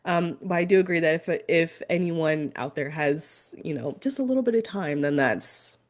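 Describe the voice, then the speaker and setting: A woman hosting a podcast interview. She chats casually and warmly praises the guest's work. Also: low voice, moderate pace. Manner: friendly, enthusiastic, with light laughs.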